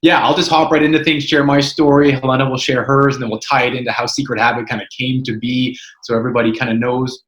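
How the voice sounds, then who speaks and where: A man's voice: mid-range voice, flat delivery, fast, few pauses, fairly bright tone, echoey room. A Canadian man in his twenties from Canada, an interview guest earnestly telling his personal story in a frank, conversational way. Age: 20s. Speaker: ministry co-founder, guest.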